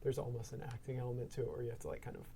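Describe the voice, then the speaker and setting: Gravelly, flat-voiced man rambling. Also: medium pitch, rapid-fire, a little hiss or hum. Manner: rambling, heavy use of like and um. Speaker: guest sound designer. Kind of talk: casual round-table discussion.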